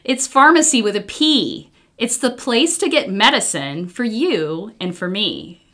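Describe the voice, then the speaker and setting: Woman acting a storybook father, American, patient, emphatic, bright voice, raised lively pitch. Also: unhurried. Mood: gently correcting. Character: patient, explaining father of a little girl. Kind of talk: children's storybook reading.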